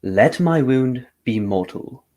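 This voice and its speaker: A man playing a confident, methodical gentleman detective in an old-time radio drama, with a formal, theatrical delivery. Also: mid-range voice, expressive, slow pace. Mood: reflective.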